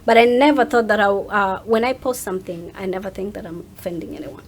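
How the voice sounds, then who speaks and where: A woman's voice: medium pitch, fast, breathy edge. A woman speaking casually as a guest in an interview, talking about herself as a content creator. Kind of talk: casual chat.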